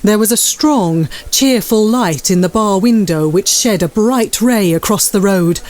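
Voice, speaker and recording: Female narrator, medium pitch, dramatised classic literature, scripted storytelling. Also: measured pace, a little mellow.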